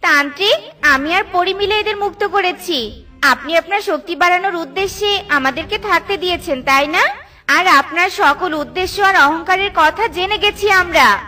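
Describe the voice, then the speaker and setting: A small, plucky cartoon bird girl, voiced by a woman, with a high voice. She is earnest and brave, and light music plays underneath. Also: normal speed, sharp tone. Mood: defiant. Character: plucky little female tailorbird, earnest and brave. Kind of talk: children's cartoon acting.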